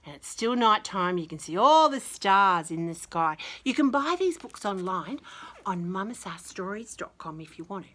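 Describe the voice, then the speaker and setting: A woman reading a picture book aloud to young children in an expressive, dramatic way, with casual chatty asides and some hushed delivery. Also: mid-range voice, moderate pace. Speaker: children's story reader.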